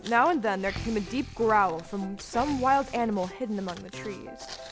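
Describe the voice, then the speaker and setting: A woman's voice: medium pitch, normal speed. A woman giving scripted storybook narration for an audio play. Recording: live stage recording with music underneath.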